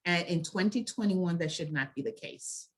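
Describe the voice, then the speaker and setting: A woman speaking as a health advocate in a prepared talk, with deliberate, emphatic and measured phrasing. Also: on the low side. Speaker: health advocacy organization leader and panel speaker.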